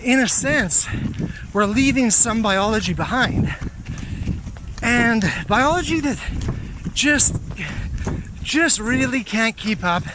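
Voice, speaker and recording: A man with a notably high voice talks very slowly, thinking aloud. His monologue is rambling and halting, recorded outdoors with clear background noise. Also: animated. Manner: halting, pondering, throat-clearing. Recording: noisy outdoor recording with clicks.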